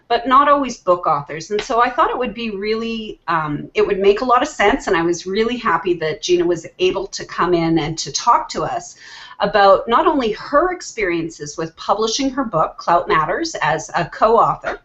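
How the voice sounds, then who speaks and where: A woman at middle pitch hosts an online video chat, talking loosely and often circling back on her own sentences. The call audio sounds dull and lo-fi, with little top end. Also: lively intonation, normal speed, fairly bright tone. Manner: chatty, with fillers and restarts. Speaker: host.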